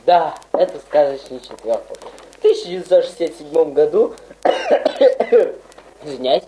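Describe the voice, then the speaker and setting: A woman playing a mock lecturer in a comedy skit, talking haltingly with many fillers. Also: low voice, lively intonation, dark tone, slight background noise, poor audio. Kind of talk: parody radio program.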